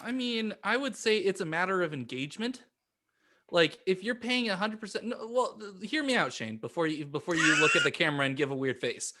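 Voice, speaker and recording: A man with a higher-than-usual pitch and a bright, forward tone. He sounds like a podcast co-host earnestly arguing a point in casual talk with friends. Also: brisk. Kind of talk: casual debate among friends.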